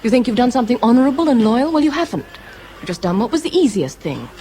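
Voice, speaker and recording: An actress playing a sharp, exasperated young woman in a radio drama, with a British accent and an emphatic, scolding delivery. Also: a little high, sing-song, quick pace, a little hiss or hum. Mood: scornful, angry.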